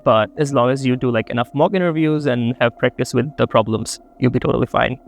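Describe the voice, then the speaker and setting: Gravelly young man from Bangladesh. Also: medium pitch, a little fast. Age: young adult. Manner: relaxed, rambling with many fillers. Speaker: interview guest, student. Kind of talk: casual interview answers.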